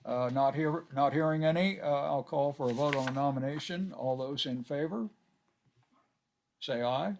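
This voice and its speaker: Halting older man chairing a meeting. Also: a little high, creaky, darkish tone, slight background noise. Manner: halting, many filler uhs, courteous. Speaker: board chairman. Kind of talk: chairing a video-call board meeting. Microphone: video call.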